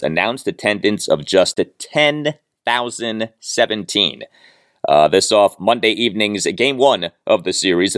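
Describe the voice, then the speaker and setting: An American man hosting a sports podcast, giving a solo baseball recap with emphatic, deliberate phrasing and dramatic pauses. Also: low voice, no echo, studio quality.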